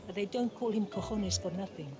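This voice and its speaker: A woman's voice: mid-range voice, a little fast, over music, lightly acted. A woman playing a shrewd, outspoken power broker in a video game, with a blunt, wry manner. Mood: wry, suspicious.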